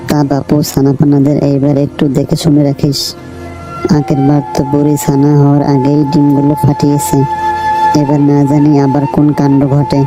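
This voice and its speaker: Woman as kindly parrot pal, low even voice, chatty, gently cautioning, cartoon. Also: normal speed, over music, lightly acted. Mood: concerned, friendly. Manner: concerned, chatty. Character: friendly, caring parrot friend, gently cautioning. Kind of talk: children's cartoon voice acting.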